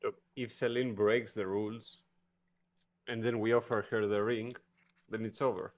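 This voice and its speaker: Man, low voice, tabletop game player, casual, tentative, thinking aloud. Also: level tone, normal speed.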